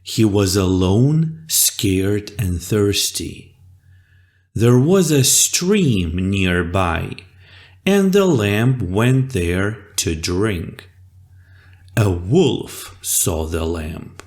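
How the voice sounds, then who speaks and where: Very slow, animated storyteller. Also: low voice. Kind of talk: slow, expressive children's fable narration.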